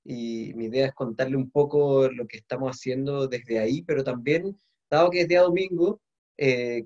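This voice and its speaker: Measured Paraguayan-born man on lo-fi call. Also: mid-range voice, a little mellow. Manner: friendly, measured, with fillers. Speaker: guest, government official. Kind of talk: casual video-call conversation. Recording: dull lo-fi call audio.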